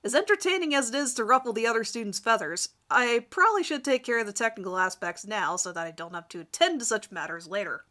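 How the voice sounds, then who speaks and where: A woman doing an amateur dramatic reading of a first-person story in an expressive, theatrical manner. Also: fairly high pitch, fast, few pauses. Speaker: amateur reader of her own fan fiction.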